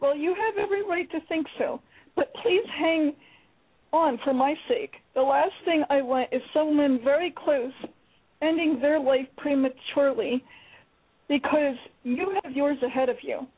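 A woman acting a gentle, reassuring older sister spirit in a live radio play, speaking softly and calmly. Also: sits high, measured pace. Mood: consoling. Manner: soft, calm, reassuring. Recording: tinny phone-line radio broadcast.

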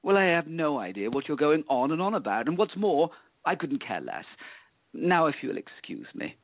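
A man playing a smug, bored gentleman villain in a radio play, sarcastic and haughty, with a creaky voice. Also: fairly high pitch, big pitch swings, moderate pace, no room sound, narrow, tinny audio. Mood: sneering, bored.

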